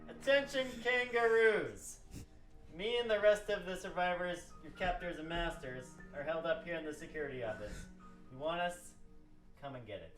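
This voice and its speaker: A man's voice: a little high, normal speed, a bit bright, close to an everyday voice. A man playing a hot-headed, foul-mouthed, defiant scientist in an amateur radio play, blustering and exasperated. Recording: roomy, distant mic with music behind.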